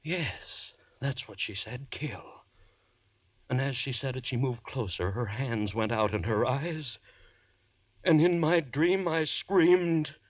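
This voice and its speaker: An actor playing a haunted, desperate condemned man recounting his nightmares in theatrical, halting 1940s radio horror style. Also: mid-range voice, no room sound. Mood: panicked. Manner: theatrical, halting, feverish first-person narration. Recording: 1940s radio broadcast recording.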